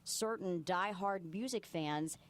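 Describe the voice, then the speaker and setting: A woman's voice: on the low side, takes it slowly. A woman reporter reading scripted narration for a television news feature. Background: music.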